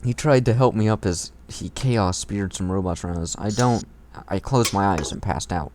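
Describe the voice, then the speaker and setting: Low-voiced man reading haltingly, noisy recording. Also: a little mellow. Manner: halting, stumbling over words. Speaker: fan fiction reader. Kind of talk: amateur story reading with casual commentary.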